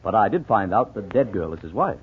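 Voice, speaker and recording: A man's voice: on the low side, big pitch swings, fairly quick. A man playing a flustered, polite husband and amateur detective who stammers evasively, in 1940s radio acting. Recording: muffled, narrow-band 1940s radio recording.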